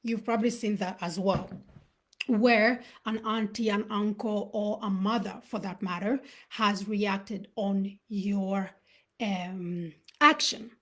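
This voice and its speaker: A woman who was born in Uganda and raised in Sweden, hosting a live talk on self-awareness and telling personal stories in a reflective, unhurried way. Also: mid-range voice, steady tone, a bit bright. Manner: reflective, storytelling with pauses. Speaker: host.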